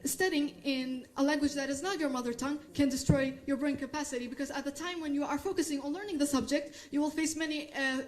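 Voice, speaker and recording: A young woman arguing haltingly as a student debater, often repeating phrases. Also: sits high, darkish tone, rough recording. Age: young adult. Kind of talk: spontaneous debate argument.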